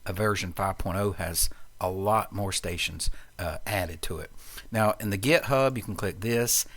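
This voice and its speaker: A man narrating a software tutorial in a casual, plain-spoken way, explaining things step by step. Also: deep voice, moderate pace.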